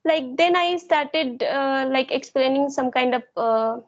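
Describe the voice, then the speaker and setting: High-voiced woman on a dull call. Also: steady tone, unhurried. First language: Hindi. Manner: hesitant, many fillers. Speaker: guest sharing her learning journey. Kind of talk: casual English practice conversation.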